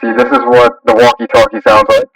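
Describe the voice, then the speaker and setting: A man's voice: medium pitch, little pitch movement, fairly quick, a bit bright, distant mic, low-quality recording. A man giving a casual tutorial demonstration through a walkie-talkie radio effect. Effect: walkie-talkie radio filter.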